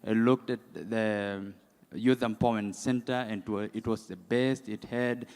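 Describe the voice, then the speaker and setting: A man's voice: fairly low pitch, steady tone, darkish tone. A man with a Kenyan accent gives impromptu public remarks as a delegate, hesitating often as he goes. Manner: halting, hesitant. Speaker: youth director, summit delegate. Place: large indoor conference hall. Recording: echoey hall, long reverb.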